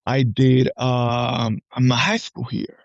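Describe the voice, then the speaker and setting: A man's voice: mid-range voice, flat delivery, gravelly, dry recording, dull audio. A man in his forties from Puerto Rico, chatting casually as a guest and often repeating words. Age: 40s. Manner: halting, repeats words, often checks with "right?". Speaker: podcast guest, army veteran. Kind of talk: casual personal conversation.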